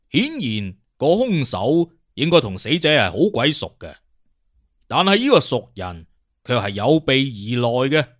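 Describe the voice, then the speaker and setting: A man's voice: medium pitch, dry recording, clean studio sound. A man playing a witty, conceited detective consultant in an audiobook, with a Cantonese accent and an emphatic, lecturing, self-assured manner. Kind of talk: audiobook character acting.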